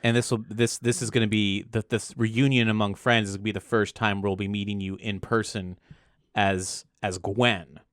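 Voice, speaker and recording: A man's voice: on the low side, little pitch movement, moderate pace, fairly bright tone, dry recording, clean studio sound. A man from Florida with an American accent, explaining things in casual conversation and often restarting his phrases as he goes. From Florida, United States. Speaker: actual play podcast game master or player and co-host.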